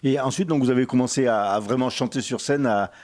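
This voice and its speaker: A man's voice: mid-range voice, a little mellow. A man hosting a radio interview, who repeats words as he puts his questions. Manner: halting, repeats words while asking questions.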